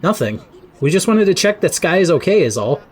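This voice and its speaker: A man's voice: high voice, big pitch swings, fairly quick, a little mellow. A young man playing a polite, reluctant, down-to-earth teenage boy in audio drama dialogue.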